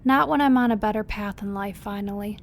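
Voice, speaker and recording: A woman playing a guarded but forgiving character in an audio drama, measured and frank. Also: mid-range voice, slight background noise, natural voice. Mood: firm, reflective. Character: firm, composed woman, guarded yet forgiving.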